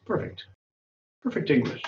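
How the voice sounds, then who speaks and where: Patient male english teacher, roomy far-off sound. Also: a little hiss or hum. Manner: patient, deliberate, lifts his voice when reading quoted lines. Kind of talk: slow, clear grammar lesson, reading example dialogues. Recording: dull, roomy sound from a distance.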